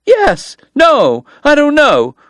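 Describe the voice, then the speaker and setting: A man voicing a frantic, tearful woman in a strained high falsetto. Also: huge pitch swings, takes it slowly, a bit bright, dry recording, studio quality, heavily acted. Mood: shaken.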